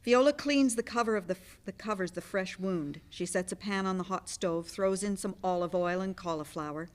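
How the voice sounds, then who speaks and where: A woman's voice: mid-range voice, moderate pace. A woman narrating scripted stage directions for a play reading.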